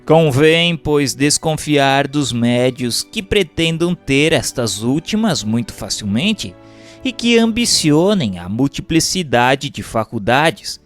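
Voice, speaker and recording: A Brazilian man reads a formal text as a scripted narration, in a measured and carefully phrased manner. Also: mid-range voice, expressive, faint music behind. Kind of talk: scripted audiobook-style reading of a doctrinal text.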